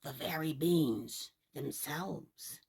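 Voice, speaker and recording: Woman putting on a sly, slow old man. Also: low voice, creaky, darkish tone, husky, voice pushed down, exaggerated voice. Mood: coaxing. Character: funny-looking old man, sly coaxing swapper.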